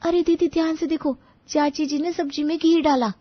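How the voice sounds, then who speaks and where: A woman's voice: high voice, fairly even intonation, moderate pace, dry recording, dull audio, natural voice. A woman playing an eager, naive young daughter-in-law in a cartoon family story.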